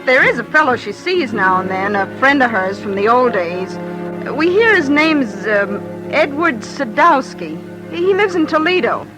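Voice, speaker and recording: A woman's voice: high-pitched, very animated, normal speed, rough recording. A gossipy woman in old radio drama. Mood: gossipy, confiding. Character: chatty local women recounting gossip to police. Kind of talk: 1940s radio drama acting. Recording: old radio drama over loud music.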